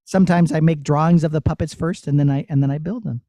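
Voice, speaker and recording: A man's voice: a little high, fairly even intonation, fast, dark tone, dry recording, studio quality. A man who works as a puppeteer, answering children's questions in an encouraging, explanatory way.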